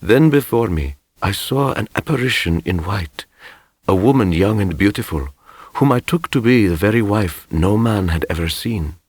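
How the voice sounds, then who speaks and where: A man voicing a solemn medieval envoy who recounts his travels in stately, formal audiobook narration, with a husky voice. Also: lowish voice, expressive, normal speed, no room sound. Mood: grave, measured. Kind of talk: first-person scripted storybook narration.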